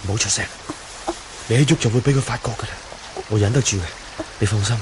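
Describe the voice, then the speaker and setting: A man's voice: fairly low pitch, fast, lightly acted. An actor playing a brave, protective young swordsman in a Hong Kong Cantonese radio drama, earnest and reassuring. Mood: urgent, steady. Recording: faintly noisy 1980 radio drama.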